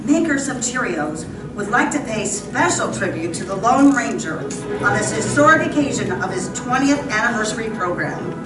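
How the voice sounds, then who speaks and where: A woman announces and narrates an old-time radio western in a slightly high voice. Loud music plays, and the stage sounds echoey. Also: very animated, normal speed, distant mic.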